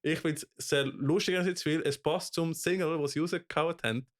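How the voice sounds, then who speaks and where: A man's voice: fairly high pitch, fairly even intonation, moderate pace. A Swiss German man in his twenties, co-hosting a podcast in casual chat, stumbling over words with many fillers and laughing often. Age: 20s. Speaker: podcast co-host.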